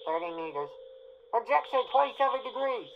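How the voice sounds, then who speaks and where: A man's voice: very high-pitched, sing-song, brisk, creaky, dull audio, a little hiss or hum, voice pushed up, heavily acted. A man playing an army gunner who repeats firing orders in clipped replies in a radio play. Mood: dutiful, urgent. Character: male army gunner echoing orders to his officer.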